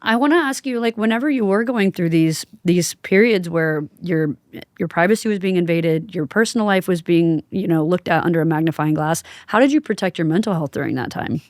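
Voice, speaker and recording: A woman's voice: lowish voice, animated, brisk, a little mellow, no room sound, studio quality. A woman in her thirties hosting a casual interview, talking emphatically and often checking that she is understood. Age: 30s. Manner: emphatic, conversational, frequent rhetorical check-ins. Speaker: podcast host and interviewer.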